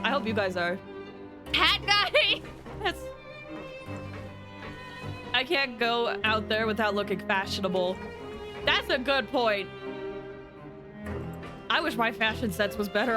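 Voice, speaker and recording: Female game streamer, fairly high voice, casual chatty commentary with music clearly underneath. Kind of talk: casual livestream chat and commentary. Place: indoors, livestream set-up.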